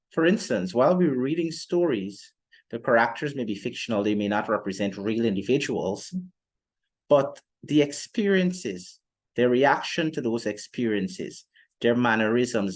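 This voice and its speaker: A young man presenting an explanatory podcast monologue in a measured, deliberate way with formal phrasing. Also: medium pitch, animated. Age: young adult. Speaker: student podcast host.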